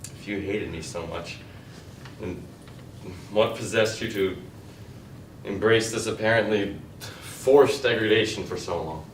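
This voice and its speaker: A man's voice: lowish voice, measured pace, pauses often, slight background noise, natural voice. A young man acting in a stage play as James, a partner caught off guard, stammering through defensive questions, heard from far off in an echoing room. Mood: bewildered. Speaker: student actor. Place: school stage. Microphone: distant audience camera. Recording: echoey stage recorded from far off.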